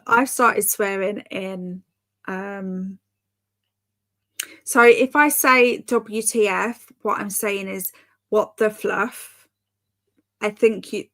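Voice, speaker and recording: A woman's voice: mid-range voice, creaky, fairly bright tone. A woman hosting a livestream, chatting casually and reading out comments, rambling with fillers, self-corrections and light laughs. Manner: laughs lightly between remarks. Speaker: livestream host of reading sprints; mother.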